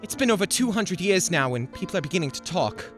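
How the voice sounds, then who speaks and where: A woman's voice: fairly low pitch, big pitch swings, fast, music in the background. A woman playing an eager, naive, very old apprentice angel in a radio play, polite, fussy and deferential. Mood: eager, flustered. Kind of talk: 1940s radio play acting.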